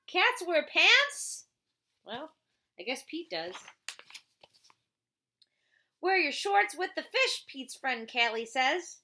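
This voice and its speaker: Bright, very animated woman reading a storybook. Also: high voice, slow pace, pauses often. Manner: playful, chatty, prompting the child with questions. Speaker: teacher reading a picture book aloud to a child. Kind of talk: animated children's storybook read-aloud with casual asides.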